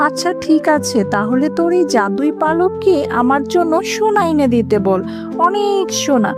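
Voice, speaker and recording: A woman's voice: high-pitched, sing-song, moderate pace, loud music behind the voice. A woman voicing an emphatic, dramatic village aunt in a children's cartoon. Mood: greedy, amazed. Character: scolding, greedy aunt in a village moral tale.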